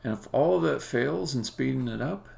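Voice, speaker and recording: A man thinking aloud on a live-coding stream, muttering to himself in a halting way and often trailing off. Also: medium pitch, expressive, creaky, a little mellow. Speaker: indie game developer streaming. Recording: faint background noise, keyboard clicks. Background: computer keyboard typing and clicking.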